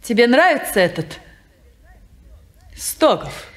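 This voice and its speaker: An actress playing a restless, teasing young woman in her twenties in a 1950s radio play, playful and capricious, dropping to hushed whispers. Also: fairly high pitch, sing-song, slow. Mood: probing. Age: 20s.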